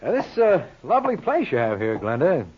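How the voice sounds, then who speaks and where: An actor playing a brash, wisecracking private investigator in a 1950s radio crime drama, glib and needling. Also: male voice, fairly high pitch, wildly swooping pitch, a little fast, husky, heavily acted. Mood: glib, ingratiating. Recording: dull old 1950s radio recording.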